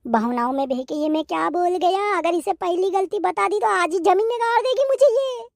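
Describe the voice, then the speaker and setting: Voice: very high voice, normal speed, gravelly, no room sound, studio quality. A performer voicing a henpecked, wisecracking young husband in a cartoon comedy, placating and grumbling. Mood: nervous placating. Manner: placating, mock-poetic, grumbling.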